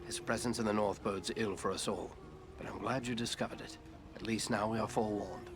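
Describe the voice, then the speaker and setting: An actor playing a grave, commanding ranger chieftain in a fantasy game, speaking in a measured, guarded way. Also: male voice, fairly low pitch, fairly even intonation, natural voice. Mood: low, grave. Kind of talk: dramatic fantasy game dialogue. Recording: orchestral game music behind.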